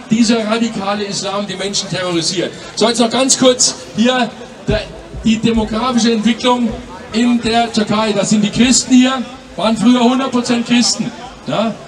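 A man in his fifties with a fairly high voice speaks forcefully at an outdoor street rally, often tagging a quick "ja?" to his points. His handheld-mic voice carries from a distance, with a crowd clearly audible around him. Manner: forceful, repeating "ja?", inviting and prompting. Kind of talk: political street rally speech. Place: outdoor city square rally. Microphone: handheld microphone.

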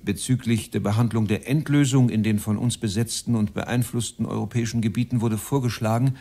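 Mellow, coldly bureaucratic Nazi official. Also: male voice, lowish voice, steady tone, gravelly. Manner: measured, bureaucratic. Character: cold Nazi official chairing a meeting, bureaucratic, male. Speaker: actor in a radio docudrama. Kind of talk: formal speech at a meeting in a radio play.

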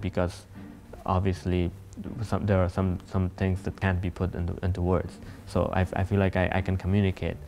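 A young man from Afghanistan speaking in an interview, earnest and halting, often restarting his sentences. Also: low voice, gravelly, a little mellow, slight background noise. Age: young adult. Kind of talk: sit-down interview. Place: empty concert hall stage.

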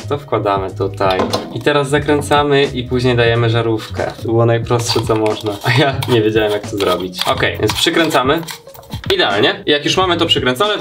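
A young man in his twenties, chatting upbeat to viewers as he makes over a corner of his room, recorded on a camera mic. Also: medium pitch. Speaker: vlogger. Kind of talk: casual room makeover vlog. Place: bright bare room, indoors. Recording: echoey empty room.

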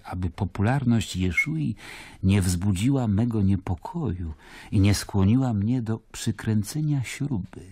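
Stern roman governor, husky low voice, dry close sound, radio drama. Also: male voice, warm tone, no room sound, heavily acted. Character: stern, skeptical Roman governor, adamant and uneasy. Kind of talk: dramatic radio play acting and narration.

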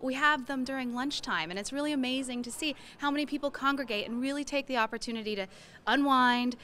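A woman's voice: sits high, fast talker, pushed and bright, slight background noise. A woman from the arts center staff speaking enthusiastically about the venue's programs during a guided tour, into a handheld mic. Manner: enthusiastic, promotional. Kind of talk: promotional guided tour talk.

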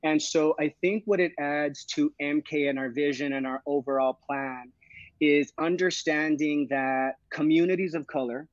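A man's voice: a little high, fairly even intonation, normal speed, no echo. A man in his thirties, a program director, answering interview questions earnestly over a video call, often checking in with "right?". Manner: earnest, thoughtful, frequent "uh" and "right?". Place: home room with plants. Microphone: video call, earbuds. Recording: dull, dry video-call audio.

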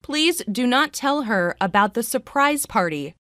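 A woman reading short English dialogue lines slowly and clearly, repeating each phrase three times for learners. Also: on the high side, expressive, sharp tone, no room sound, studio quality. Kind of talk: slow scripted phrase repetition.

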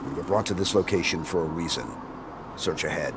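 An actor playing a stoic, gruff veteran supersoldier commander in a video game, speaking tersely and with authority. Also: male voice, low-pitched, a little fast, heavy background noise, close to an everyday voice. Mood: stoic, resolute. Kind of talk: video game cutscene acting. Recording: loud film score behind.

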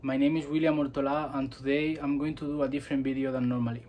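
Young man, from Spain, casual vlog, flat monotone delivery, halting with fillers, mid-pitched voice. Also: lo-fi sound, slight background noise. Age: 20s. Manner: hesitant, many fillers and restarts. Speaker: vlogger. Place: small room indoors.

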